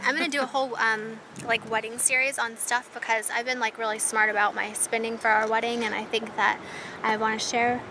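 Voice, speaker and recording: A young woman with a fairly high voice chats to her handheld camera, giggly and talking straight to her viewers. The recording is noisy, with steady background sound under her voice. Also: fairly quick. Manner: chatty, giggly, addresses viewers directly. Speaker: vlogger. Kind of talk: casual vlog chat. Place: car, then outdoors and at home. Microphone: handheld camera at arm's length.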